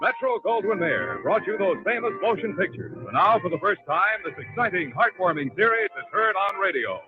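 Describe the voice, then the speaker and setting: Male announcer, 1940s radio style, animated high voice, muffled old recording, loud music. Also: brisk.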